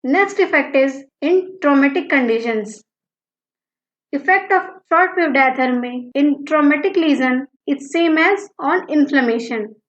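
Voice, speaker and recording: A woman's voice: sits high, lively intonation, very slow. A woman with an Indian accent reads a scripted educational narration in an instructional manner, as a physiotherapy lecturer. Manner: mixes Hindi and English, instructional. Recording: dull lo-fi audio, roomy echo.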